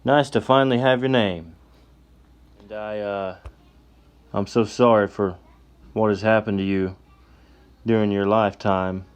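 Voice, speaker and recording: A man voicing a polite, earnest cowboy of thirty who speaks gently and quietly. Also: on the low side, steady tone, measured pace, long pauses, clean tone, lightly acted. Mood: gentle.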